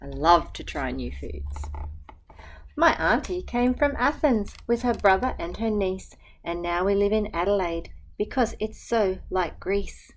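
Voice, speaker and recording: An Australian woman, a teacher, reading a picture book aloud to children and chatting gently between pages. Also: mid-range voice, lively intonation, measured pace, a little hiss or hum, poor audio. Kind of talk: storytime read-aloud with asides to children.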